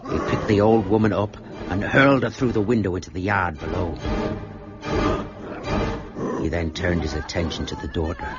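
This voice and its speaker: An actor playing a deferential, shaken sailor confessing to a horror in an earnest radio drama style. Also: male voice, deep voice, moderate pace, long pauses, natural voice. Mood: haunted recounting. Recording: muffled, with music behind.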